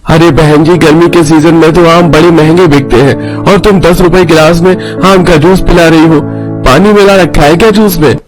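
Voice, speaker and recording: A man's voice: fairly high pitch, fairly even intonation, moderate pace, music in the background, pitched above the performer's own voice, natural voice, poor audio. A man voicing a skeptical, questioning male customer at a juice stall in a story. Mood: suspicious. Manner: questioning, haggling.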